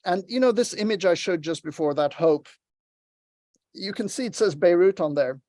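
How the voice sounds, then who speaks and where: A man's voice: high voice, expressive, moderate pace, darkish tone, dry recording, clean studio sound. A man from Lebanon giving an online workshop talk, earnest and encouraging as he tells a story over a video call. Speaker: workshop presenter.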